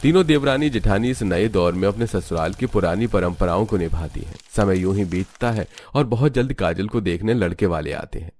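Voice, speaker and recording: A man's voice: low-pitched, lively intonation, a little fast, hardly any pauses, darkish tone. A man reading scripted narration for a cartoon family story.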